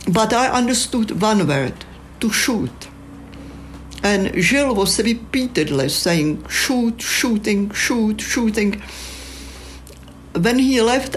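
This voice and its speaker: An older woman with a Czech accent, a photographer speaking in a slow, measured, thoughtful way in a prepared reflection. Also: medium pitch, a little hiss or hum.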